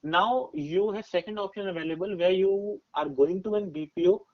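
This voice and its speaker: Male, twenties, Indian accent. Fairly high-pitched, emphatic podcast guest talking through advice with personal examples; dull recording. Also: normal speed. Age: 20s. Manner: emphatic, explanatory, often checking with "right?". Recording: dull, muffled lo-fi audio.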